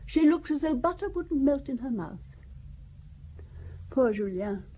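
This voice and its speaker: A woman's voice: sits high, big pitch swings, a little slow, dark tone, slight background noise. An actress playing a wealthy older widow who talks about her family in a wry, confiding, gossipy way, in a radio drama. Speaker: Valentine Besson.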